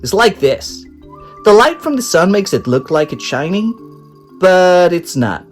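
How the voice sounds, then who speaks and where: A man doing a bright young boy astronaut in a storybook, high and squeaky, eager know-it-all, bouncy lively tone, unhurried lines. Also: soft background music. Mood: explaining. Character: eager clever young boy space explorer.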